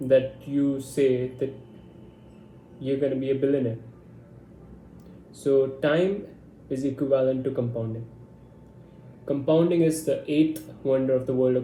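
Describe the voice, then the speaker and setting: Blunt young man, dark voice, echoey room. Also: medium pitch. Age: 20s. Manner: blunt, confident, brash. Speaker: vlogger. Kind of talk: casual talking-head monologue. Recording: echoey room with faint hum.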